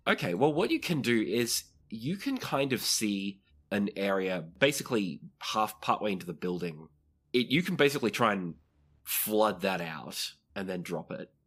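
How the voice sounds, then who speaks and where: A man with a low, husky voice acts as game master for a tabletop game. He describes the action as he goes and checks rules with the players. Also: very animated, moderate pace, fairly bright tone. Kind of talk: improvised tabletop game narration.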